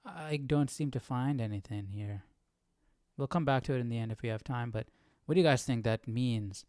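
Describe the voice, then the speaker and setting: A man's voice: mid-range voice, normal speed, darkish tone, no echo, clean studio sound. A man hosting a casual Bible study livestream, thinking aloud in a musing, questioning way. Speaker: host of a live Bible study.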